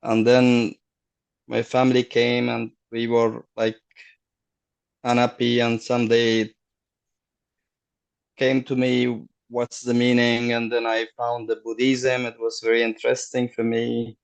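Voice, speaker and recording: A middle-aged man, a guest on a video call, telling his story haltingly and searching for words. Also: mid-range voice, monotone, vocal fry, poor audio. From Afghanistan. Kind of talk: casual personal story.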